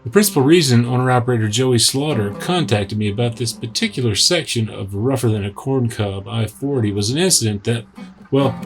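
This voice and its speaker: A man's voice: medium pitch, fairly quick, faint music behind. A man hosting and narrating a trucking news segment, reading scripted lines with dry, wry asides. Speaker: news editor and host.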